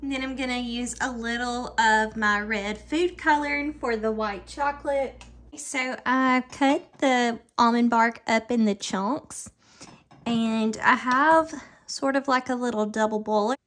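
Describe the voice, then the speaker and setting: A woman's voice: a little high, measured pace, fairly bright tone. A woman in her thirties chatting to viewers as she bakes desserts in her home kitchen, upbeat and talkative. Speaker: baking vlogger. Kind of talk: casual baking tutorial.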